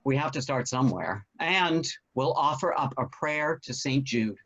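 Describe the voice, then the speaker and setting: A man's voice: medium pitch, expressive, moderate pace, a bit bright. An actor playing a worried but courteous older husband and father in a table read. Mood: resigned, courteous. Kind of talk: stage comedy-drama table read. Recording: dull lo-fi online call audio.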